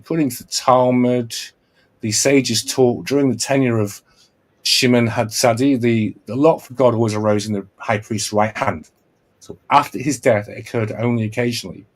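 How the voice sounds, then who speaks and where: A man hosting a casual podcast, explaining at length with restarts and repeated checks of "right?". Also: lowish voice. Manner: earnest, lecturing, many restarts and repeated "right?". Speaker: podcast host.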